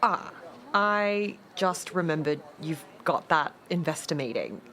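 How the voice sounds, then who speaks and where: A woman's voice: low voice, expressive, measured pace, heavy background noise. An actress playing an awkward, jilted woman in her late thirties, leaving hesitant, rambling, emotionally exposed voicemails in a radio drama. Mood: awkward, hopeful.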